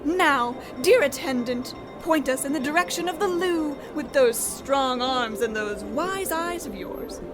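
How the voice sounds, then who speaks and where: Woman playing high, lilting, breezy genteel wife. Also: expressive, measured pace, hardly any pauses, music in the background. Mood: flirtatious, commanding. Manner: genteel, theatrical. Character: breezy, flirtatious, gently chiding wife. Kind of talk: old-time radio comedy acting.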